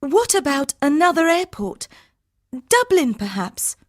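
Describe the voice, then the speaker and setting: A woman's voice: high voice, very animated, slow pace, no room sound, clean studio sound, heavily acted. An actress playing an anxious, pleading young woman desperate to get home in an audiobook story. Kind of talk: audiobook dialogue acting.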